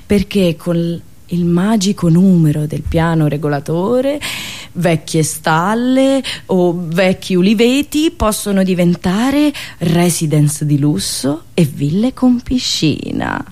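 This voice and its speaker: A woman radio host delivering a theatrical, satirical monologue with ironic, deliberate phrasing and repetitions. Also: lowish voice, sing-song, a little mellow.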